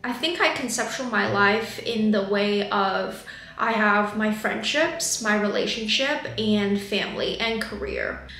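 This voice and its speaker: A woman's voice: mid-range voice, unhurried, pushed and bright, reverberant, a little hiss or hum. A woman in her twenties from China, a native Chinese speaker, talking candidly and thoughtfully to the camera about her life. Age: 20s. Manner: candid, thoughtful, gestures a lot while talking. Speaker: vlogger. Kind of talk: reflective personal talk to camera. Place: bedroom or home office, indoors.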